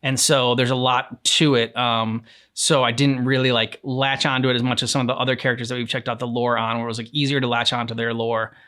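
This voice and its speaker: A man's voice: medium pitch, level tone, fairly quick, a bit bright. A man co-hosting a reaction show in loose, laid-back casual talk.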